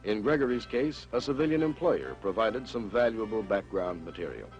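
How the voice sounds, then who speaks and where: A man's voice: fairly low pitch, expressive, normal speed, a little mellow. A man giving formal, explanatory narration for an old training film. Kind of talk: scripted documentary narration. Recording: dull old film soundtrack with music.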